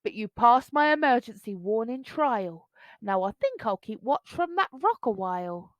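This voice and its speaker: A woman voicing a cheeky, boastful young boy dinosaur for a storybook, teasing and shouty. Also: on the high side, sing-song, a little slow, no echo.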